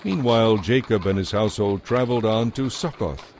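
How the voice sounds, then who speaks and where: A man narrating a dramatized audio Bible in a measured, scripted storytelling style. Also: on the low side, darkish tone, slight background noise.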